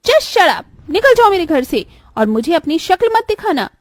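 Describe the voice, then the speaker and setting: A woman's voice: very high voice, sing-song, moderate pace, dry recording, clean studio sound, voice pushed up. A woman voicing a bitter, domineering young elder stepsister in a cartoon moral story, with an emphatic, dramatic delivery. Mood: angry, scolding.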